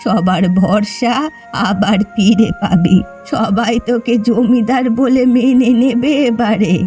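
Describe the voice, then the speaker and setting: A woman's voice: fairly high pitch, steady tone, slow pace, mellow. An encouraging elder woman from a village cartoon, voiced by a woman. She speaks in a confident, reassuring manner with music playing underneath. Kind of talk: cartoon voice acting.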